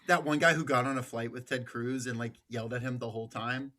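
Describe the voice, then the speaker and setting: A man's voice: mid-range voice, steady tone, fast talker, pushed and bright. A man with an American accent giving political commentary as a podcast host, partly reading from notes.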